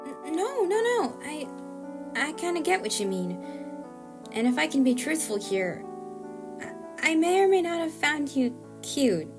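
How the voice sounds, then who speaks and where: Woman acting a bashful young woman, bright high pitch. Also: very animated, a little slow, music in the background. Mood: bashful, flustered. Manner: flustered, stammering on words. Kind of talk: audio drama acting.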